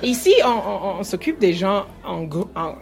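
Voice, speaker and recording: A woman's voice: mid-range voice, normal speed, creaky. A woman nurse at a community health centre, explaining health advice in an interview, with hesitant fillers. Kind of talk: street interview answers. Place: indoor open-house event.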